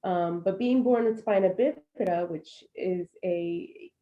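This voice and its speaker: A woman in her thirties with a fairly low voice speaks in an earnest, reflective, unhurried way. The sound is slightly dull and lo-fi, as on a video call. She comes across as a panelist sharing her story. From born in Puerto Rico, raised in Florida, US. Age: 30s. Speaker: panelist, alumna. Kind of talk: casual panel talk.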